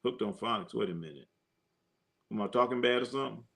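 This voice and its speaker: A man's voice: medium pitch, fast talker, creaky, fairly bright tone. A man hosting a live sports stream, chatting loosely with viewers and a co-host, joking and using slang with lots of fillers. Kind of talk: casual live stream chat reading comments.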